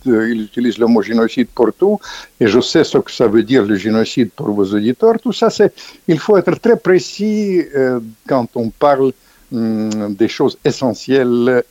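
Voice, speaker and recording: An older man talks in an emphatic, halting way, often restarting his sentences and jumping up in pitch when he stresses a point. The sound is dry and a little dull, with no room around it. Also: mellow, no room sound. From Ukrainian background. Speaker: author guest. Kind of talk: interview, political commentary.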